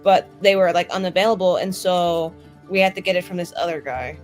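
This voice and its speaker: A woman's voice: on the low side, fairly quick, a little mellow, faint music behind. Blunt, casual woman roleplaying. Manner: blunt, irreverent, slangy. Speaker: tabletop roleplaying player. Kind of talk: improvised in-character tabletop roleplay, casual.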